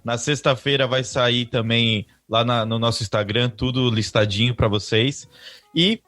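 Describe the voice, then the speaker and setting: A man with a Brazilian Portuguese accent, a podcast co-host wrapping up the episode in a brisk, jokey way. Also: mid-range voice, a bit bright, no echo, soft background music. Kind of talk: podcast outro.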